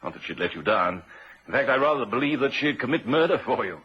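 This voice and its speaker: An actor playing a shrewd, unhurried police inspector in an old-time radio drama, a man with a measured, probing, quietly assured manner. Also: medium pitch, animated, husky. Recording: muffled vintage radio recording.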